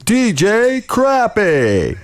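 A man's voice: high voice, wildly swooping pitch, very slow. A man drawing out a hyped producer tag announcement, mixed with crowd sounds. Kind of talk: shouted beat tag with crowd effect.

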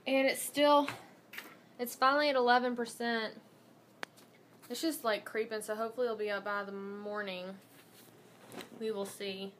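A woman's voice: on the high side, steady tone, moderate pace, pauses often, a bit bright, slight background noise. Chatty young woman vlogging at home. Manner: chatty, rambling, bubbly. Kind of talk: casual daily vlog chat. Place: home kitchen, indoors. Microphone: phone camera at arm's length.

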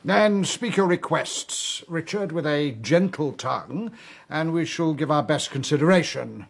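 A wily old king, his voice creaky, husky and somewhat high. He is commanding, suspicious and drily sardonic, in the style of a historical radio drama. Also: unhurried, exaggerated voice. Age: older. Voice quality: gravelly, husky.

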